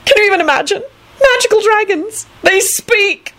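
A woman's voice: fairly high pitch, very animated, unhurried, slightly rough, pitched above the performer's own voice, heavily acted, poor audio. A woman voicing a coy, flamboyant, effeminate male vampire with dramatic, gushing politeness in an audiobook story.

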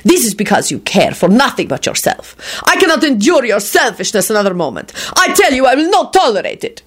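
A woman's voice: on the high side, very animated, moderate pace, voice pushed up. A woman voicing a cold, haughty male vampire lord in a story, imperious and formal, with petulant indignation. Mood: petulant wrath.

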